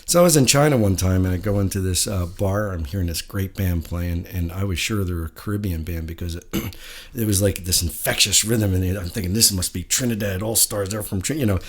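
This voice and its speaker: A man hosts a relaxed chat, friendly and a little rambling, with plenty of uhs and restarts. His voice is on the low side. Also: a little fast. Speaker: show host. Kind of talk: casual interview chat.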